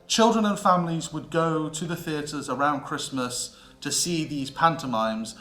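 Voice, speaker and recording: A British man presents an educational script in a bright, forceful voice. His delivery is theatrical and emphatic, broken by deliberate pauses. The room adds noticeable echo, and music plays underneath. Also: mid-range voice. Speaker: presenter of a theatre history series.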